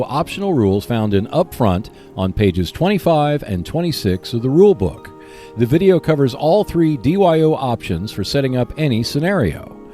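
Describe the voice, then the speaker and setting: A man hosting a hobby podcast, reading scripted announcements in a measured, clearly enunciated way. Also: medium pitch, dark tone. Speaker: podcast host.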